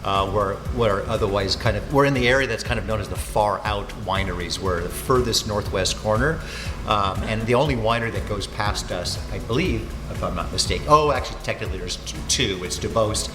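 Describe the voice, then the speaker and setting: A man's voice: on the low side, brisk. A man in his sixties, a winery owner and winemaker whose first language is Finnish, giving casual, rambling interview answers full of fillers and self-corrections. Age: 60s. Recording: echoey room with long reverb and music.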